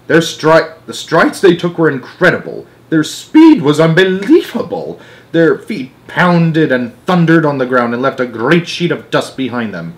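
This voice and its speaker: A man narrating a children's book aloud in an expressive way, with dramatic emphasis phrase by phrase. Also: on the high side. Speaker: storyteller.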